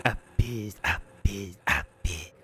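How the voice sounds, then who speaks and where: A man voicing a stern game character with a childish neediness, in a hushed breathy voice. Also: fairly low pitch, slow, voice pushed down. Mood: deadpan, needy.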